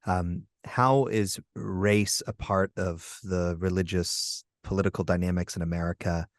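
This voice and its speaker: Male podcast host with a very low voice, halting, restarting, dry close sound. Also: fairly even intonation, no echo. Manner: halting, hesitant, lots of restarts. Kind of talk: podcast interview.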